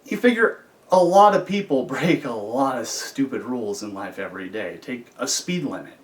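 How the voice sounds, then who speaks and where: A man delivers a personal storytelling monologue in a conversational way. His voice sits at an average male pitch. It is picked up from some distance off the microphone. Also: expressive, normal speed. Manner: conversational, acts out dialogue. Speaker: storyteller, former prisoner.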